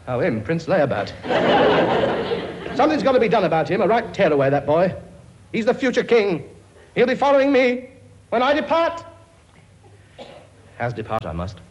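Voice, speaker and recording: A loud, bossy king who cracks jokes at his own children, and his voice swoops high and low all the time. He sits high in his register with a lot of lively bends. The live recording has heavy noise running underneath him. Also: normal speed, pauses often, muffled recording. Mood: ranting. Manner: blustering, bossy, wisecracking. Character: bossy joking middle-aged king with many children. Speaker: comic actor. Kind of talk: live comedy sketch.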